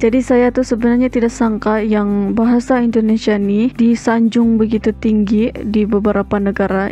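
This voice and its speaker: A woman's voice: medium pitch, warm tone, highs cut off. A young woman from Sabah, Malaysia, with a Sabahan Malay accent, giving casual reaction commentary and dropping in English phrases. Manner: chatty, mixes in English phrases. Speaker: reaction video host.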